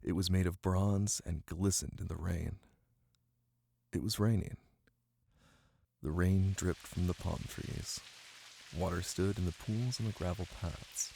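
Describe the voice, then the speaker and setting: Man playing a deep, gravelly-voiced caring boyfriend, ASMR. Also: steady tone, measured pace, long pauses, dark tone, full character voice. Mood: tender, calm. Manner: tender, teasing, close and cozy. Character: caring, playful young boyfriend reading to his partner. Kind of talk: intimate ASMR roleplay and bedtime story reading. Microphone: close microphone. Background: rain ambience.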